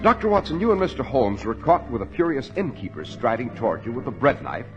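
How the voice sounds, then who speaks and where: Animated vintage radio announcer man. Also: medium pitch, fast talker. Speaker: radio announcer and story presenter. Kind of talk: dramatic scripted 1940s radio narration. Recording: dull old radio recording, loud music.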